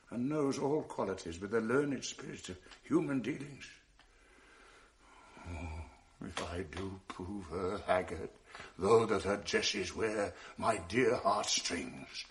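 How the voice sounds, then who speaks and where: A tormented older general: low, unhurried classical verse in a radio drama, weighty with bursts of anguish. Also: male voice, fairly bright tone. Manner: brooding, slow and weighty, flaring into anguish. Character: proud tormented general, jealous husband.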